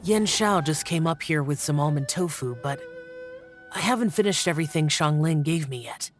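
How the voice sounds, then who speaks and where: A man voicing a reserved, gentle otherworldly guardian in a video game, quiet, solemn and measured. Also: on the high side, lively intonation, soft background music, lightly acted.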